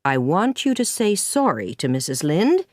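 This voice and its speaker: A woman voicing a stern, practical older female guardian in an audiobook story, with a firm, measured delivery. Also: fairly low pitch, very animated, a little mellow, dry recording, studio quality.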